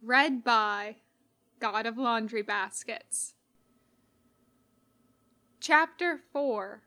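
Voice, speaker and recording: High-voiced, unhurried woman reading a story. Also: sharp tone. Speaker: podfic reader. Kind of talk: expressive scripted story narration.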